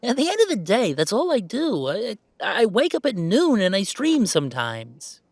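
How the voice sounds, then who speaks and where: A man's voice: sits high, wildly swooping pitch, normal speed, gravelly, dry recording, clean studio sound, heavily acted. A man playing a weary but fond werewolf gamer who streams, bantering and complaining in a conversational roleplay audio. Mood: mock defensive. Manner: sighing, bantering, mock-defensive complaining. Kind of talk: one-sided roleplay audio drama, conversational.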